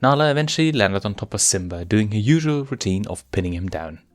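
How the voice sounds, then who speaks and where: A man's voice: fairly low pitch, animated, brisk, no room sound. A man reading fan fiction aloud as scripted story narration. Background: faint music.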